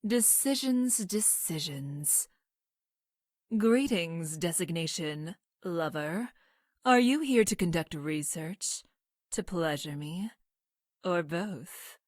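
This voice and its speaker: An actress voicing a coldly clinical scientist from the future in a video game, with deadpan, formal, precise diction. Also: female voice, on the low side, slow pace, no room sound, clean studio sound. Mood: detached, analytical, then formal, detached. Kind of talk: scripted video game character lines.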